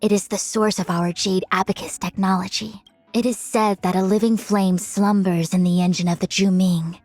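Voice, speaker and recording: A woman's voice: mid-range voice, fairly even intonation, moderate pace, a little mellow, no echo, close to an everyday voice. A woman playing a proud young female diviner in a video game, speaking in composed, formal, lofty phrases. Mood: grave, instructive. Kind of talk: scripted game character voice lines. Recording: studio-dry voice over music. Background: music.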